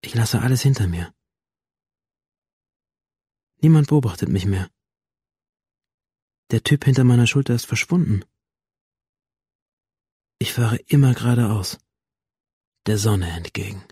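A man's voice: medium pitch, expressive, measured pace, pauses often, a little mellow, breathy edge, no echo, studio quality. A male actor playing a troubled young man who narrates his own slipping reality in an introspective radio drama monologue. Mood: elated.

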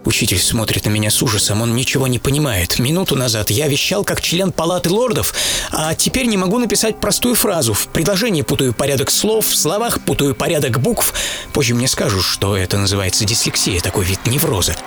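A man playing a lonely, misunderstood English teenage artist in an introspective first-person radio monologue, speaking in halting dramatic phrases. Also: mid-range voice, lively intonation, a bit bright, voice pushed up. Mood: intense, reflective. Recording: music bed behind studio narration.

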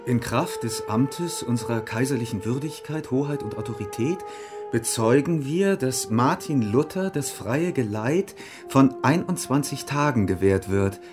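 An actor in a German radio play playing an earnest, worried monk, a loyal companion who speaks gravely. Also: male voice, medium pitch, expressive, a little slow, over music, lightly acted. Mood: formal.

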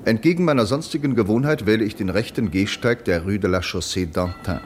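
A man's voice: on the low side, brisk, over music, natural voice. An actor playing a sober literary man narrating his diary in a radio drama. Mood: sober, reflective.

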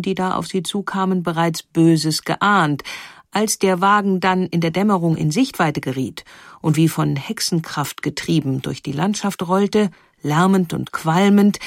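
A woman narrating a historical documentary in a clear, explanatory tone. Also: lowish voice, no echo. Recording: dry voice over faint music.

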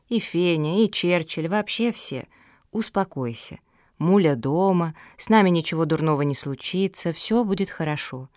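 A woman voicing a kind, motherly female animal in a storybook, gentle and comforting. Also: lowish voice, no echo, clean studio sound, lightly acted.

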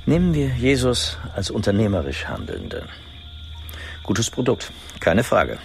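A man's voice: fairly low pitch, wildly swooping pitch, measured pace, vocal fry, a little hiss or hum, heavily acted. A man in a radio drama playing a glib, cynical economist who argues from hard data. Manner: cool, flippant, data-driven. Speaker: economist at a forum.

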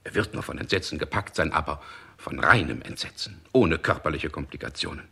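Sharp, callous court doctor. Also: male voice, a bass voice, brisk, gravelly, breathy edge, full character voice. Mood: clinical, declamatory. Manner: declamatory, precise, unfeeling. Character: callous court doctor, clinical, officious and pedantic. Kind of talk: 1960s German radio play acting.

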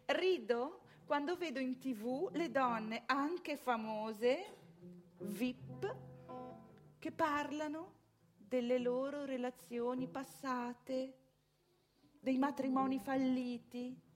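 A woman's voice: high voice, slow pace, faint music behind. An actress playing a proud, anxious, self-righteous married mother in an opinionated theatrical monologue full of rhetorical questions. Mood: indignant, scornful.

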